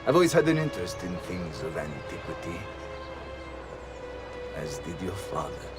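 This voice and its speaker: Game nobleman, male, formal and dignified, lively pitch over loud music. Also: moderate pace. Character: dignified male Florentine ruler, grateful and proud. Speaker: city ruler.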